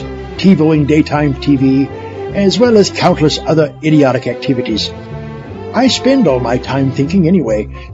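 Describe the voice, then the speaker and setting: Man acting a high-voiced, condescending supervillain. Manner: pompous, condescending. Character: haughty, condescending male supervillain running for dictator. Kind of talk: comedy audio drama. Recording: dull lo-fi, faint music behind.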